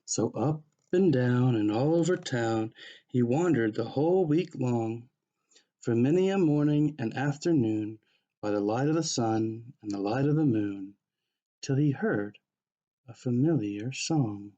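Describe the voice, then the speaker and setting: A man with a soft, mellow voice reads a children's picture book aloud. He follows the rhyming verse as a storyteller, at an ordinary middle pitch. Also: expressive, unhurried, dull audio. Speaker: pastor reading a children's story.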